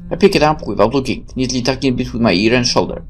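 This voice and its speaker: A man in his twenties reading game text aloud with excitable, chatty asides and some stumbles. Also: mid-range voice, quick pace. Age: 20s. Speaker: gaming video host. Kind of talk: game let's play, reading aloud and reacting. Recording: faint background noise.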